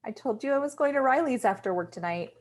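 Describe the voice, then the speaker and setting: A woman's voice: high voice, lively intonation, fast talker. A woman playing a nervous, sardonic young office worker in a screenplay table read, speaking in a casual, wry way. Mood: annoyed.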